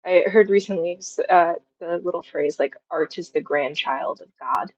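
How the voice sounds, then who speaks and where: A woman's voice: on the low side, moderate pace, poor audio. A woman in her twenties talking reflectively and casually as an interview guest, feeling her way through her thoughts. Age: 20s. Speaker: guest, audio drama writer.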